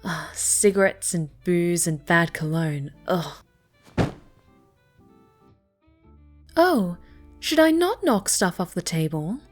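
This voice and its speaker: A woman playing a snobby, pouty young cat girl with a soft side in an ASMR roleplay. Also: lowish voice, lively intonation, measured pace, soft background music. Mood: bratty, disdainful. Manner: haughty, pouty, dismissive.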